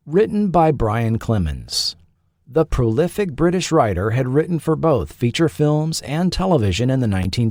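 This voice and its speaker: A man hosting and narrating a scripted documentary podcast, with a measured delivery and dramatic pauses. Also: medium pitch, a little mellow, no echo, studio quality.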